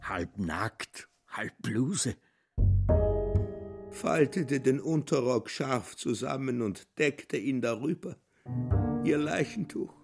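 A man's voice: medium pitch, lively intonation, slow pace, vocal fry, long pauses, loud music behind the voice. A man giving dramatic literary narration in a radio drama.

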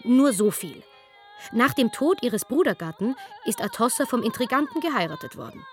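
Trockenhumorige Erzählerin, Musik dahinter. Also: female voice, a little high, big pitch swings, moderate pace, soft background music. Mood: wry, chatty. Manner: wry, digressive, colloquial. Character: chatty, wry female storyteller of ancient history. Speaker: narrator. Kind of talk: conversational comic radio play narration.

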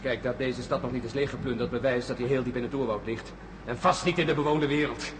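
An actor playing Peter, a volatile male astronaut who flips between feverish excitement and bitter sneering in a radio drama. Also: medium pitch, fast talker, background noise, lightly acted.

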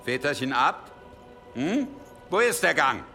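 Gravelly gang boss, forceful, mocking, commanding, radio play with music underneath. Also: male voice, medium pitch, sing-song, a little slow, heavily acted. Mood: impatient, commanding. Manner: commanding, sneering. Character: menacing, mocking, illiterate male gang leader. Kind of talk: radio drama acting.

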